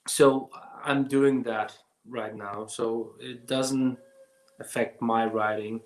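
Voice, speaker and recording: A man in his thirties from Iraq, speaking in a halting way with many restarts as a guest filmmaker on a video call. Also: mid-range voice, fairly even intonation, roomy sound, noisy background. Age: 30s. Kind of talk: casual interview over video call. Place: home during lockdown.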